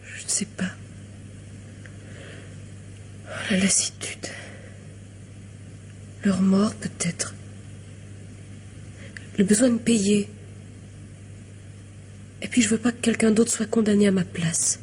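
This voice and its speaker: An actress in a French radio mystery drama playing a weary, lovelorn young woman, wistful and hesitant, confessing in a hushed way. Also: medium pitch, fairly even intonation, slow pace, long pauses, a little hiss or hum, lightly acted. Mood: weary, remorseful.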